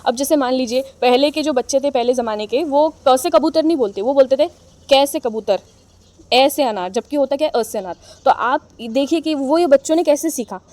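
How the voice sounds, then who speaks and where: A young woman with an Indian accent leads an exam-prep lesson in a high, light voice. She talks in a chatty, encouraging way. She reads each line slowly and then explains it in her own words. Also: a little mellow. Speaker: teacher.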